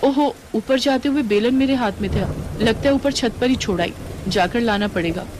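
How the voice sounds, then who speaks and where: A woman's voice: a little high, normal speed, background noise, close to an everyday voice. A woman voicing an aggrieved young bride in a family story, plaintive and protesting as she reasons. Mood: grumbling. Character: young rich bride, aggrieved but reasoning sister-in-law. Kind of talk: Hindi storytelling drama.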